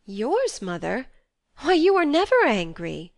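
A woman's voice: high voice, wildly swooping pitch, measured pace, gravelly, dry recording, studio quality, voice pushed up, full character voice. A woman voicing an earnest, impulsive fifteen-year-old girl in a classic novel, with an emotional, pleading manner. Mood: astonished. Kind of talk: acted audiobook dialogue from a classic novel.

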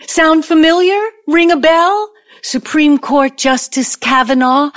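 A woman's voice: sits high, slow pace, a bit bright. A woman playing a wounded, vengeful older ex-girlfriend in an audio play, speaking in a dramatic, pointed way. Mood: angry, accusing. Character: bitter, wounded, vengeful ex-girlfriend from mogul's college days.

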